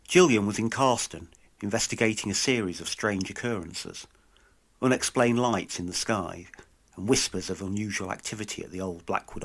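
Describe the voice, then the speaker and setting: A man's voice: fairly low pitch, rough recording. A man narrating a scripted true-crime podcast as investigator, solemn and dramatic.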